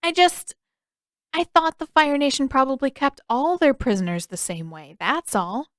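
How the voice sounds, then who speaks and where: Woman doing an earnest young boy, high voice. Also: animated, moderate pace, dry recording, clean studio sound. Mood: sheepish, defensive. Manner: hesitant, defensive.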